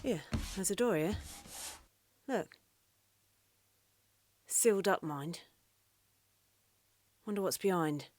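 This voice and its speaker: A woman's voice: mid-range voice, takes it slowly, long pauses. An actress playing an inquisitive, plain-spoken British woman exploring, in a naturalistic radio play. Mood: curious. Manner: inquisitive, expressive. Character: curious, plain-spoken British woman inspecting a cluttered house.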